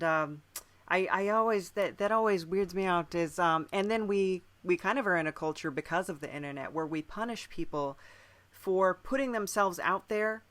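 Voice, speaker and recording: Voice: medium pitch, a bit bright, no echo. A podcast host in casual interview conversation, speaking thoughtfully with hesitant phrasing.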